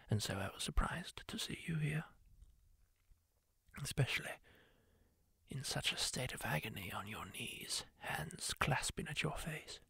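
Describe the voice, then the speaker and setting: A man doing a whispered roleplay, voicing a kindly former war surgeon who soothingly tends a wounded stranger, gentle and reassuring up close to the mic. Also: fairly high pitch, creaky, slightly rough, dry recording, exaggerated voice. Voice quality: soft whisper, slow and soothing. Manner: gentle, reassuring, asks permission. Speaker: ASMR roleplayer.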